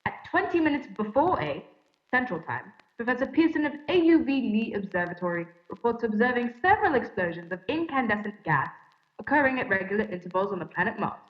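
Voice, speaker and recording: A woman playing a formal 1930s radio announcer, reading bulletins in a measured, scripted way. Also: a little high, very animated. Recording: echoey room, slightly dull sound.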